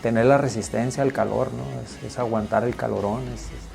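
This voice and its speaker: A man's voice: mid-range voice, fairly even intonation, brisk, creaky, a little mellow, faint music behind. A man with a Sonoran Mexican accent, speaking hesitantly and repeating words in an interview. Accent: Sonoran Mexican Spanish. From Mexico, Cocorit, Sonora.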